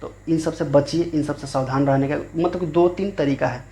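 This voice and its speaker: A man's voice: a little high, steady tone, normal speed, dark tone. A man, explaining in an informal, repetitive tutorial style as a video host. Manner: informal, repetitive, rephrases often. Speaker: YouTube channel host. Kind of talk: explanatory scam-awareness tutorial.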